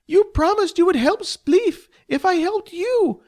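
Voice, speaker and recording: A man voicing a small, frightened female goblin who pleads and wails in a high voice. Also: animated, unhurried. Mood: distraught pleading.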